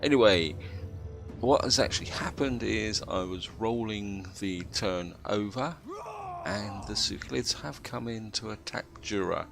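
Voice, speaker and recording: A man with a cold and a slightly croaky voice, calmly explaining his moves as he plays a strategy game. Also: fairly low pitch, unhurried, music in the background. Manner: steady, explanatory, thinks aloud. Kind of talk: gameplay commentary.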